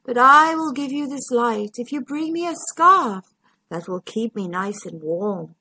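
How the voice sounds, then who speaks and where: A woman's voice: on the high side, animated, bright, forceful tone, husky, no echo, exaggerated voice, rough recording. Woman voicing a drowsy old storybook lion. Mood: sleepy, bargaining. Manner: slow, drowsy. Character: sleepy old male toy lion, wanting warmth.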